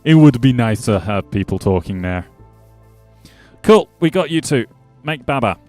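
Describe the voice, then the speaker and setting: A man's voice: medium pitch, lively intonation, measured pace, darkish tone, soft background music. A man casually commenting on his gameplay as a streamer, chatting to his viewers and joking with the animals in the game. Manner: chatty, jokey, talks to chat and game animals.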